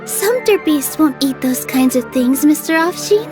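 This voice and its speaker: A woman voicing a sweet, cheerful young dancer in a video game, gentle and eager. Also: high-pitched, expressive, unhurried. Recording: studio voice over game music.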